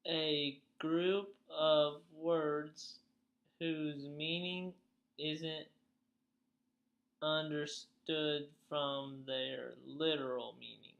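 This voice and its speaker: A female student volunteering and reading aloud in class in a halting way. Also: deep voice, fairly even intonation, gravelly, highs cut off.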